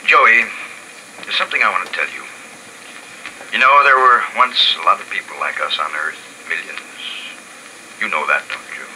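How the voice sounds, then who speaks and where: A man's voice: low voice, animated, normal speed, long pauses, sharp tone, slight background noise, heavily acted, low-quality recording. An actor playing a weary man who leads a handful of plague survivors and narrates their story in an old radio drama. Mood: gentle. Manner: somber, earnest, musing. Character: weary man leading a few plague survivors, grave father. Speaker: narrator and lead character. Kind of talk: 1950s radio drama acting. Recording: old radio broadcast with music behind.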